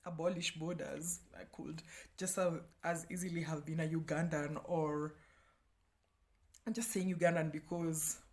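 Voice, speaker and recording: A woman's voice: sits low, steady tone, unhurried, darkish tone. A woman in her thirties with a Kenyan accent, talking casually and animatedly as a book reviewer, candid and self-deprecating with playful asides. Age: 30s.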